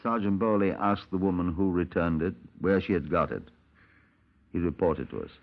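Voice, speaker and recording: An actor playing a formal, dry Scotland Yard detective with a British accent, deliberate in speech, on an old 1950s radio drama recording. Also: male voice, low voice, lo-fi sound. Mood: dry, matter-of-fact. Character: measured, dry Scotland Yard detective narrating his case. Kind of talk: 1950s radio police drama acting and narration.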